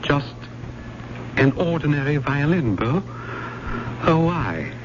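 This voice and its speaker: An actor playing an obsessed, volatile college professor in old-time radio drama, erudite and courteous but halting when cornered. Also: male voice, on the high side, big pitch swings. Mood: guarded unease. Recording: noisy, dull old radio drama recording.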